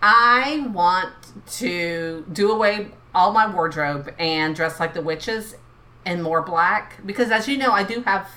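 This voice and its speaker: A woman co-hosts a podcast in rambling, chatty talk and laughs easily. Her voice is bright and fairly low. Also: animated, slow. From lived in New Orleans for twenty plus years. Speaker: podcast co-host. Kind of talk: casual chat.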